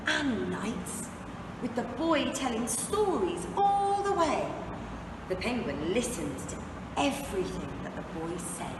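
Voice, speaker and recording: Slow, lively woman reading in an echoey room. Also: mid-range voice. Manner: slow, dramatic phrasing with deliberate pauses for young listeners. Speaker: English teacher reading a picture book aloud. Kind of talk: expressive children's storybook read-aloud. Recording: echoey, reverberant room, distant mic, noisy background.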